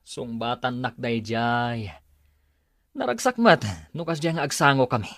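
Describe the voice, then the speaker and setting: A man's voice: medium pitch, measured pace, a bit bright, dry recording, studio quality. A man with an Ilocano accent playing a cocky, lovestruck suitor in a radio comedy drama. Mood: smug, wistful.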